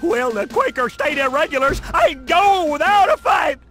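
Paranoid militia nut from a game, high ranting theatrical voice, swoops around. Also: male voice, big pitch swings, moderate pace, music in the background. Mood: fanatical, defiant. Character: paranoid, bombastic male militia leader, fanatical.

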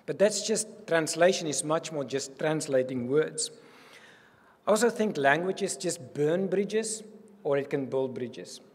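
South African man, husky earnest speech. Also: fairly high pitch, measured pace. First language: Afrikaans. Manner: earnest and animated, gesturing widely. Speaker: university provost. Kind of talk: welcome speech. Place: reception hall with curtains. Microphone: podium microphone. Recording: long hall reverb.

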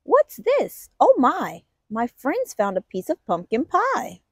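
Woman, children's read-aloud, high voice, very slow pace, expressive storytelling, deliberate phrasing. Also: breathy edge, no room sound, clean studio sound. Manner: expressive, deliberate storytelling with stressed sound words. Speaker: picture book reader for children.

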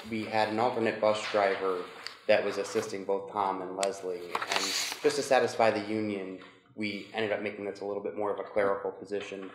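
Low, flat-voiced man explaining in a roomy hall. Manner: matter-of-fact, explanatory. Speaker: town staff member presenting an item. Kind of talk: explanatory presentation. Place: council chamber, standing in front of the table. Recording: roomy chamber with clear background noise.

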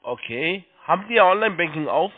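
A man working as a call-centre agent, giving halting step-by-step instructions, repeating himself and spelling things out. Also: sits high, expressive. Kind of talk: step-by-step phone sales instructions. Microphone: telephone. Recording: tinny narrow phone line.

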